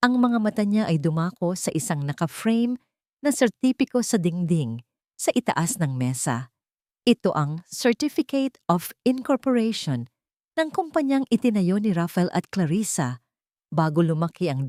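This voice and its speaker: A woman narrating a dramatic scripted story in a suspenseful, deliberate way, in short phrases. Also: lowish voice, darkish tone, no room sound, studio quality. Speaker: narrator of a Tagalog audio drama.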